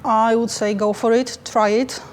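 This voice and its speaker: A woman with a Polish accent answers interview questions in a careful, halting way. Her voice stays level and even, with little rise or fall. A faint hum of background noise sits under her speech. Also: a little high. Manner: careful, halting, non-native phrasing. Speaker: finance manager.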